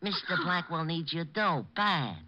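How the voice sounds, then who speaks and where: A weaselly little American gunman from a 1940s radio crime show. His voice is sharp and forceful, with big swings in pitch as he taunts and threatens in street slang. The old recording is dull and muffled. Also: animated, a little slow, slight background noise, heavily acted. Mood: gloating menace. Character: small weaselly male hired killer, cocky and menacing.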